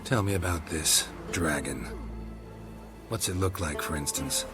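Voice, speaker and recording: A very deep, gravelly American monster hunter from a fantasy game. He is terse and deadpan, a stoic hired blade, with prominent music behind him. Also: unhurried. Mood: businesslike, dry. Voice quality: gravelly, low. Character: gruff, stoic, dry monster-hunter for hire. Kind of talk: video game character acting.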